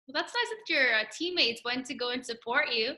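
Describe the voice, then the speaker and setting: A woman's voice: sits high, lively intonation, a little fast, sharp tone. A woman hosting an interview, chatting casually and gushing encouragement at her guest. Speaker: interview host.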